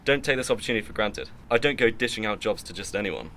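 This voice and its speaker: A man acting a well-off, boastful, stern middle-aged uncle in a radio drama, with a pompous, measured way of talking. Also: on the low side, sharp tone, a little hiss or hum.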